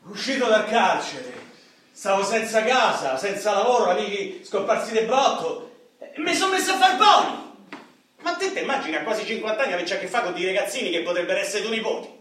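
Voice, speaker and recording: In a very echoey hall, a middle-aged Roman hustler tells his life as a stage monologue for radio. His voice is high and bright, leaping around as he boasts and pities himself, a lonely small-time ex-robber with street swagger. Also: a little fast. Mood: wry bragging. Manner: animated streetwise storytelling, swinging from bragging to self-pity. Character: boastful, lonely ex-robber nearing fifty, coke-loving braggart. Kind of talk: theatrical radio drama monologue. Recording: echoey stage hall, distant mic, faint noise.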